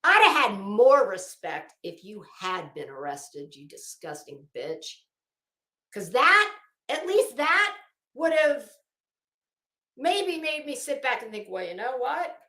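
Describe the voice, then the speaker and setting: A woman's voice: on the high side, big pitch swings, slow pace, bright, forceful tone, roomy sound. A woman delivering an unscripted, opinionated commentary rant as an online host, sarcastic and taunting, with theatrical emphasis.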